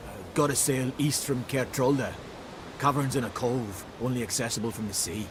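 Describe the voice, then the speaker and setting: A man's voice: medium pitch, moderate pace, background noise, lightly acted. A man voicing a dreamy, obsessive island bard in a video game, earnest and old-fashioned in his phrasing.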